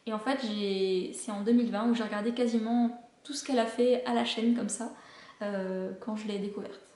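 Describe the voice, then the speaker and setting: A woman's voice: medium pitch, steady tone, normal speed, a little mellow, echoey room. A woman hosting a knitting podcast, chatting casually about shows she recommends, with many hesitations. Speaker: knitting podcast host and pattern designer.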